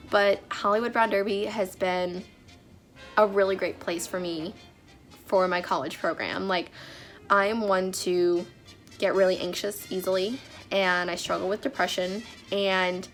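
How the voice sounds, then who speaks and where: A woman's voice: mid-range voice, a bit bright. A young woman vlogging casually, sounding a bit nasal from a cold, chatty with frequent fillers. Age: young adult. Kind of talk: casual vlog talk.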